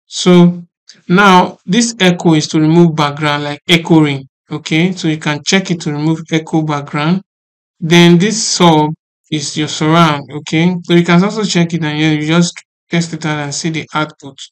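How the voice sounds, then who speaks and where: A man presenting a casual software tutorial in a halting, repetitive way, often checking that the listener understands. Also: a little high, steady tone.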